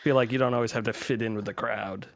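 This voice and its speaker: A man's voice: mid-range voice, fairly even intonation, quick pace, no echo, studio quality. A man chatting casually as a panelist on a podcast. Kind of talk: casual chat.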